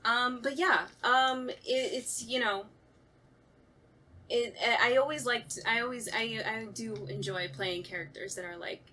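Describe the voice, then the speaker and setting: A woman's voice: on the high side, unhurried, pushed and bright. A woman who acts in audio drama, chatting casually and self-deprecatingly on a talkback podcast. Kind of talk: casual chat.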